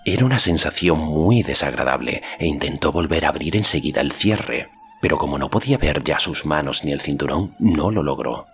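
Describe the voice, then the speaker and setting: A man's voice: a bass voice, expressive, a little fast, hardly any pauses, soft background music. A man reading a fantasy novel aloud as scripted audiobook narration.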